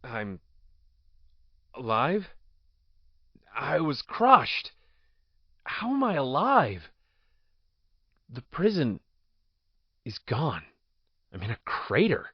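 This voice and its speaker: An actor playing an anguished, imprisoned political outcast with strange powers, speaking in theatrical, solemn soliloquy that can break into frantic outbursts. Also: male voice, medium pitch, huge pitch swings, extremely slow, long pauses, breathy edge, dry recording, exaggerated voice. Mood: dazed. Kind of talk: audio drama acting, soliloquy. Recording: dull lo-fi dry recording.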